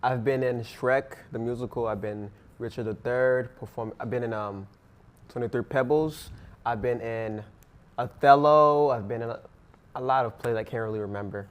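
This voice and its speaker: A man's voice: medium pitch, normal speed. A teenage boy who speaks English as a second language, answering interview questions in an earnest, rambling way with fillers. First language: not English. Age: teens. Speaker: interviewee, student actor.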